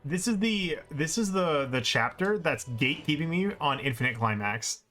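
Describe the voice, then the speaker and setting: A man's voice: a little high, lively intonation, moderate pace, sharp tone, faint music behind. A man giving casual, exclamatory commentary while he plays a video game on a livestream. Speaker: speedrunner streamer playing and commenting.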